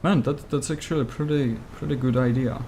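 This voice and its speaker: A man with a Czech accent plays a game live and chats with viewers. His voice is dark and mellow, and his English is halting as he searches for words in real time. He talks casually throughout. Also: medium pitch, fairly even intonation, a little hiss or hum. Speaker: game streamer. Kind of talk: casual live gameplay stream, answering chat.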